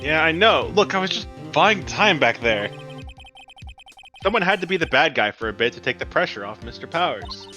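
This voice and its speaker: A man's voice: on the high side, animated, moderate pace, soft background music, lightly acted. A man voicing an earnest, wry male defense lawyer in a fan-voiced visual novel game, conversational in manner. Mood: dry, defensive.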